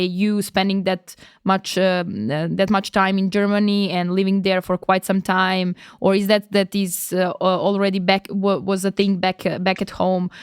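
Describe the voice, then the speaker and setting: A woman's voice: mid-range voice, clean tone, no room sound, clean studio sound. A woman from Serbia, hosting a podcast interview and asking long, hesitant questions full of restarts. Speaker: podcast host.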